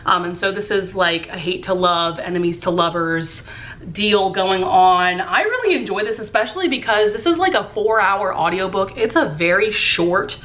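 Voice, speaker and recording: A woman's voice: medium pitch, expressive, normal speed, a bit bright. Chatty young woman book vlogger. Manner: chatty and upbeat, many fillers like "um" and "like". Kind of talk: casual chat while doing makeup. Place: room at home lit by a lamp.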